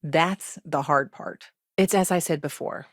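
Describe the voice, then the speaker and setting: A woman playing a pragmatic, guarded lawyer in a video game, speaking in a measured, matter-of-fact way with dry wryness. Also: low voice, no echo. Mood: businesslike. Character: businesslike, wry female lawyer, pragmatic and guarded. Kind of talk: scripted game dialogue, conversational.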